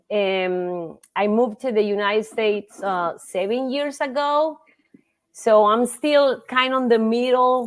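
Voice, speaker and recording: A middle-aged woman from Costa Rica with a Costa Rican Spanish accent, a native Spanish speaker talking about her teaching in a casual interview, often pausing to search for words. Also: medium pitch. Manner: warm, searches for words, frequent fillers.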